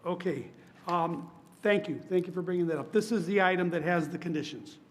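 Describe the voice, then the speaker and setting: A man chairing a public commission meeting, hoarse from laryngitis, running votes in a steady, polite, procedural way. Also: high-pitched, a little fast. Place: council chamber.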